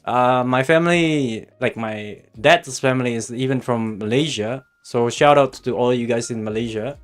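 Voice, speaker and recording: A man's voice: mid-range voice, normal speed. A man from Australia hosting a livestream, chatting casually and directly with viewers as he gives game guidance. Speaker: livestream host and guide maker. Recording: music playing behind the voice. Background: background music.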